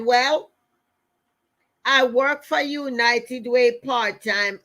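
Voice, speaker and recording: This is a middle-aged Jamaican woman speaking as a motivational host. Her voice sits fairly high for a woman and she takes her time, at a markedly slow pace. She tells personal stories and drives points home emphatically, repeating key phrases as she addresses listeners directly. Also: a bit bright. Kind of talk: motivational talk with personal storytelling.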